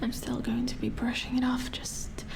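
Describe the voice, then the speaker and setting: A woman playing a calm, matter-of-fact robot repair engineer in a soft-spoken ASMR roleplay, murmuring as if thinking aloud in a breathy whisper. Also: a little high, moderate pace, rough recording. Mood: focused, soothing. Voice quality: breathy whisper with creaky edges.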